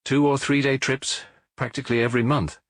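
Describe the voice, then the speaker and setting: A man reading an audiobook, voicing a middle-aged male police officer who gives his report in a measured, matter-of-fact way. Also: mid-range voice, level tone, fairly bright tone, dry recording, clean studio sound, lightly acted. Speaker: audiobook reader.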